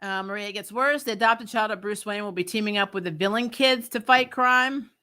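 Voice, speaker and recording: Bright-voiced woman, blunt exasperated reviewer. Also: mid-range voice, brisk. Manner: exasperated, blunt and sweary, laughs while ranting. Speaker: host of a TV review show. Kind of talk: live casual opinionated review chat.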